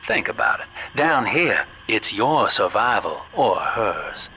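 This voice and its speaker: A man's voice: fairly low pitch, big pitch swings, slow pace, noisy background, full character voice. A man playing a folksy, scheming businessman with an American Southern accent, guiding the player in a video game with avuncular salesman patter. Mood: persuasive, calculating. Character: folksy, scheming male businessman, smooth opportunist guide. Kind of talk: video game voice acting, radio guidance.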